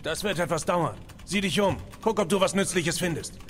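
Higher-voiced focused soldier, played by a man. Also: normal speed, slight background noise, lightly acted. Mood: firm, practical. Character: focused, dutiful male soldier and computer specialist. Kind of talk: audio drama acting.

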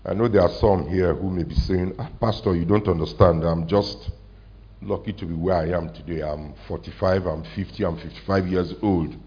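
A deep, low-pitched pastor delivers a conversational sermon, asking his congregation questions and slowing down to let key points land. He is recorded in a church hall, and the sound is muffled and a little lo-fi. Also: steady tone. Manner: conversational, asks the congregation questions, slows for emphasis.

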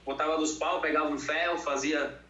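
Brazilian guy from bahia chatting casually, bright forceful voice, echoey room. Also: on the high side, fairly even intonation, a little fast. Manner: plain-spoken, colloquial. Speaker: interview guest, fitness professional. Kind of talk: casual chat. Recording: echoey room, dull lo-fi sound.

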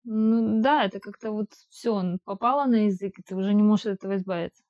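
A woman at an ordinary middle pitch, with a dark, mellow tone, talks in a casual conversation. She hesitates often, restarts words and fills pauses as she explains. She speaks Russian but lives in Israel. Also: dull audio. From Russian-speaking immigrant living in Israel. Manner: hesitant, halting with restarts and fillers. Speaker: interviewee.

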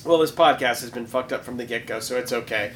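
A man's voice: medium pitch, a bit bright, a little hiss or hum. A man co-hosting a casual podcast, needling his friend with sarcastic jokes. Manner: sarcastic, jokey, quick to needle. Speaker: podcast co-host.

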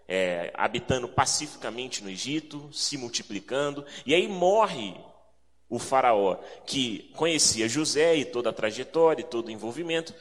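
A man's voice: medium pitch, a bit bright. A man from Rio de Janeiro with a carioca accent preaches as a guest in an informal, reflective way, repeating phrases for emphasis. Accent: carioca Brazilian Portuguese. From Rio de Janeiro, Brazil. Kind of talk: sermon, conversational with prayer and scripture reading. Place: large reverberant indoor meeting space, speaking to a congregation. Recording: reverberant hall sound.

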